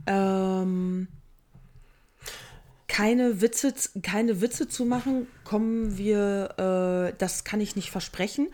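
A woman's voice: mid-range voice, slow, darkish tone. A woman co-hosting a podcast, chatting casually and joking about herself. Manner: joking, chatty, self-mocking. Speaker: podcast co-host. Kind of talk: casual chat.

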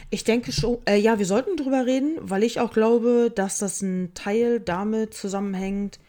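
A woman's voice: fairly high pitch, normal speed, dark tone. A woman co-hosting a podcast, chatting casually and joking about herself. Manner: joking, chatty, self-mocking. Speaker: podcast co-host. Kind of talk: casual chat.

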